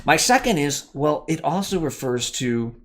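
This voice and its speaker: A man talks through his thoughts on a live stream in a loose, unscripted way. He restarts his sentences and corrects himself as he goes, the way a channel host does when thinking out loud. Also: on the high side, sing-song, moderate pace. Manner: thinking aloud, self-correcting, rambling. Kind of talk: casual live commentary.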